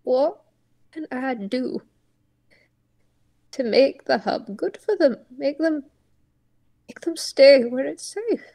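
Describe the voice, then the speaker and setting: A woman voicing an old male tortoise uncle, solemn, protective and stubborn, pleading in halting, repeated words. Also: high voice, animated, creaky, mellow, voice pushed up, full character voice. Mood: anguished pleading. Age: older.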